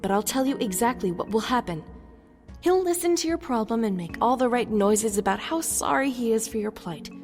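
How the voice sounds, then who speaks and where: A woman's voice: on the high side, expressive, fairly quick, darkish tone, faint music behind. A woman playing a stern, overworked deputy mayor in an audio drama, firm and formal. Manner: firm, formal, clipped authority. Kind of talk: fan-made audio drama.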